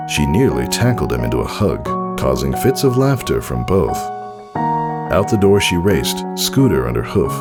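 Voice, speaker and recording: Low-voiced man narrating a story. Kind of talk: scripted fan fiction narration.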